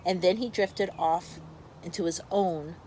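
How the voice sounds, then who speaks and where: A woman's voice: fairly low pitch, fairly even intonation, measured pace, background noise. A woman teacher reads a picture book aloud to young children, expressively, with explanatory asides to her pupils.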